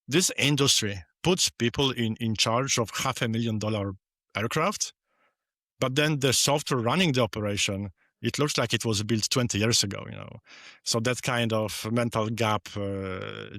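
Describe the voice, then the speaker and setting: Halting Polish-accented man, dry sound. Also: mid-range voice, a bit bright, no room sound. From Poland. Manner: halting, repeats words. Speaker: podcast guest, software founder. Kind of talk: casual interview answers.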